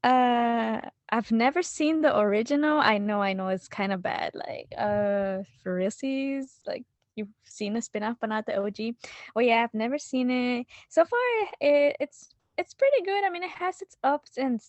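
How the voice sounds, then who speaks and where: A woman's voice: on the high side, moderate pace, no room sound. A woman whose first language is Spanish, a guest on a casual podcast, rambling with many "like" and "you know" fillers.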